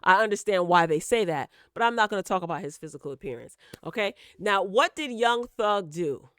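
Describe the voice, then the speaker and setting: A woman's voice: medium pitch, lively intonation, fairly quick, a bit bright, dry recording, studio quality. A woman host giving casual, opinionated gossip commentary, speaking emphatically and straight to her listeners, repeating words for emphasis. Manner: emphatic, direct address to listeners with repetition for emphasis.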